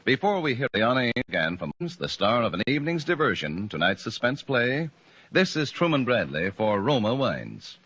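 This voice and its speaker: A man's voice: mid-range voice, big pitch swings, moderate pace, fairly bright tone, breathy edge, no echo. An American man reading a 1940s radio advertisement as announcer, with a polished, emphatic salesman's delivery. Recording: dull 1940s radio broadcast recording.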